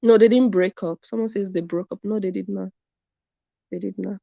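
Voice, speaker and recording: A woman's voice: mid-range voice, steady tone, fairly quick, gravelly, dark tone, no room sound. A woman hosting a live commentary stream, reading viewer comments and stressing her points by repeating them. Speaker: commentary channel host. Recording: dull lo-fi sound.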